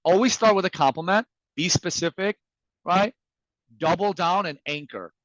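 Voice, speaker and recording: A man whose first language is Farsi, giving an energetic, emphatic sales talk as a guest speaker, acting out sample messages. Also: sits high, normal speed, dry recording, highs cut off. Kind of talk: motivational sales presentation.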